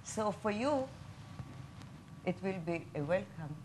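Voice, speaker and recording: A woman's voice: medium pitch, animated, takes it slowly, noisy background. A woman from Israel, speaking as a tour guide in an apologetic, chatty, confiding way. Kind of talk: stage monologue.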